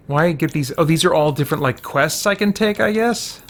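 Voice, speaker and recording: A man's voice: fairly high pitch, animated, a little fast. A man giving casual gameplay commentary, muttering to himself as he thinks aloud. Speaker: let's play host.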